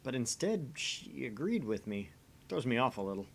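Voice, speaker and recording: A man's voice: medium pitch, sing-song, normal speed, gravelly, husky, full character voice. A man playing a theatrical, pompous young self-styled mad scientist in a visual novel, switching between grand pronouncements and narration. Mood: reflective narration. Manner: grandiose declarations, quieter asides as narrator.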